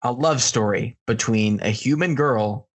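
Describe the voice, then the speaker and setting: A man's voice: mid-range voice, measured pace. An American man speaking as a panelist on a comedic talk show, in casual and opinionated banter.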